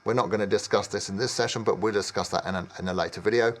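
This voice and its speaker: A man in his fifties presenting product training in a measured, explanatory way with some hesitation. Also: on the low side, steady tone. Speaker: technical trainer.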